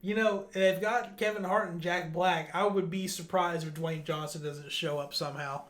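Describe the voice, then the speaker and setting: A man with a fairly high voice, recorded in a noticeably echoey room. He hosts casually, reading out entertainment news and chatting between items as on a podcast. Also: fairly even intonation, normal speed, a loud crowd. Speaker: host of a video game news podcast. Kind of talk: casual news rundown and chat.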